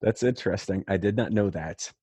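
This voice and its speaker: A man's voice: deep voice, a little fast, dark tone, breathy edge. Enthusiastic male podcast host. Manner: enthusiastic, effusive. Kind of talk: upbeat podcast intro and interview chat.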